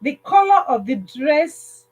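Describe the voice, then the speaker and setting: A woman hosting a livestream, casually telling a story in a halting way, repeating phrases and checking in with listeners. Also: high voice, very animated, lo-fi sound. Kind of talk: casual spoken storytelling with advice.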